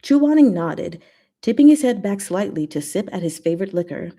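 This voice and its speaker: A woman's voice: medium pitch, animated, moderate pace, warm tone. A woman reading fan fiction aloud as narration. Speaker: story reader.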